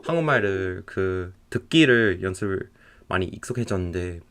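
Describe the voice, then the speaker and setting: Young male streamer, American accent, low-pitched, easygoing and rambling. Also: normal speed. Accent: American English. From United States (Texas). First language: English. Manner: relaxed, rambling, laughs often. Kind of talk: casual chat while drawing.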